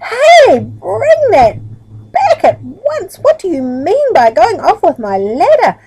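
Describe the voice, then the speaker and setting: A woman voicing an angry male storybook farmer who shouts furiously at thieves. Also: extremely high pitch, huge pitch swings, takes it slowly, noisy background, voice pushed up, exaggerated voice.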